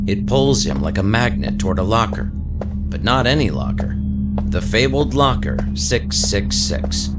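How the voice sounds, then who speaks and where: A man reading dramatic scripted narration for an audio story, with music behind him. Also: fairly low pitch.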